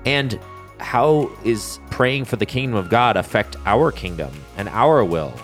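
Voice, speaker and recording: A man co-hosting a casual faith podcast, loud and resonant, telling stories with lively energy. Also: medium pitch, a little slow, soft background music. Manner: loud, animated storyteller. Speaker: podcast co-host. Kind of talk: casual chat.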